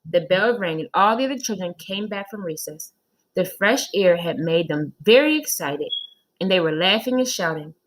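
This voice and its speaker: A woman's voice: on the low side, expressive, normal speed, low-quality recording. A woman narrating a children's story as a scripted read-aloud.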